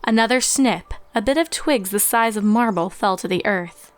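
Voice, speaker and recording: A woman's voice: medium pitch, normal speed. Woman narrating a story over music. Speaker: fan fiction narrator.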